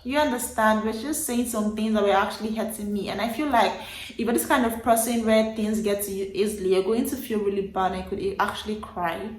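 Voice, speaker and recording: Animated young Nigerian woman telling a story. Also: mid-range voice, a little fast. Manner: animated, emphatic retelling with repeated phrases. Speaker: vlogger. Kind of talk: casual storytelling to camera. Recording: roomy echo.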